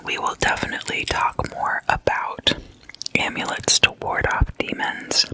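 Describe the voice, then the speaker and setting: Hushed whispering ASMR host, very slow. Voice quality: breathy unvoiced whisper. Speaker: ASMR host explaining demon folklore.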